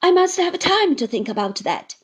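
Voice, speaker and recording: A woman reading aloud, voicing a sly, bitter young man whose protests go whining and shrill. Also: very animated, normal speed, muffled recording. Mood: sneering.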